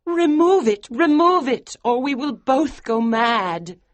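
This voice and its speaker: A devoted, resolute young wife, as a male narrator puts her on. She pleads in a raised, higher voice, and the recording is dry and close. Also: expressive, unhurried, no echo. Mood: pleading.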